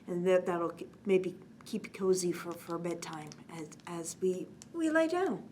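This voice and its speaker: A woman hosting a children's storytime, reading a bedtime story aloud in an expressive manner and talking gently to young listeners. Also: fairly low pitch, a little slow. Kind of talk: read-aloud bedtime story with chatty asides. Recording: clearly noisy background.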